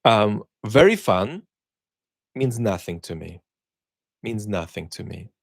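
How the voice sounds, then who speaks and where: Deep gravelly man musing slowly. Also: expressive, no room sound, studio quality. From Soviet Union. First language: Russian. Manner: measured, thinking aloud with many ums and pauses. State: unwell, speaking while cared for. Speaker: host answering viewer questions. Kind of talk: reflective solo chat.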